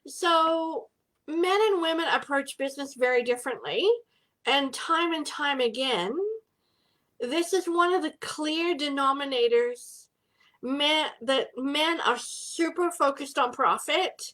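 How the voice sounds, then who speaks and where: A woman's voice: sits high, takes it slowly, sharp tone, rough recording. A middle-aged woman hosting a casual live business chat from home, bubbly and greeting viewers by name.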